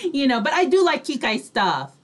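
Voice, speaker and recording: A woman hosting an online radio show in casual chat, with a chatty, giggly manner. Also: high-pitched.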